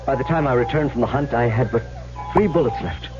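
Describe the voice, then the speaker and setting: An actor playing a tormented young huntsman, gravely and dramatically recounting his tale in old-time radio style. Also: medium pitch, fairly quick, over music, lightly acted. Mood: anxious. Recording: dull old radio recording.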